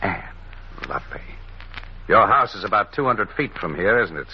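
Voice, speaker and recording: Deep-voiced menacing stranger, old radio. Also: male voice, animated, normal speed. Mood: suave, teasing. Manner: suave, insinuating. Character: smooth, menacing stranger lurking at night. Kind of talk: old-time radio drama acting. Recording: muffled, noisy old radio recording.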